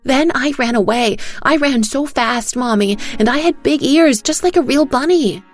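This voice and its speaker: A woman's voice: sits high, moderate pace, faint music behind, pitched above the performer's own voice. A woman voicing a sweet, earnest little girl with childlike, simple phrasing in an audio storybook. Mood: earnest, bright. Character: sweet, earnest little girl telling her mother nightmares.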